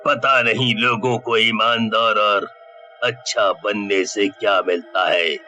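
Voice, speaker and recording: A gloating cartoon demon in a village thug's body, played by a man. He speaks slowly and menacingly, with a hard, bright edge. He scorns decent people and relishes his own wickedness. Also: fairly low pitch, fairly even intonation, soft background music, exaggerated voice. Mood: scornful, scheming. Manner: menacing, drawn-out, scornful of honest folk. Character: gloating male devil possessing a greedy village bully. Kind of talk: Hindi cartoon story acting.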